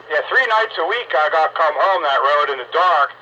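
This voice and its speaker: A man's voice: on the high side, lively intonation, a little fast, highs cut off. A man hosting a casual radio chat in a folksy, grumbling way. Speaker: host of a radio roundup net. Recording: noisy, band-limited two-way radio audio.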